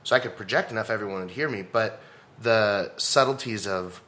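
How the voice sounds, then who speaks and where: A man from Texas, a comedian and speaker, chatting as a podcast guest with a loud, animated delivery and frequent laughter. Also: lowish voice, normal speed. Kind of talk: casual conversation.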